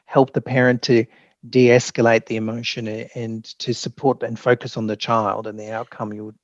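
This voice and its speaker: A man's voice: mid-range voice, little pitch movement, moderate pace, dry recording, muffled recording. A man with an Australian accent, a polite host moderating a webinar panel.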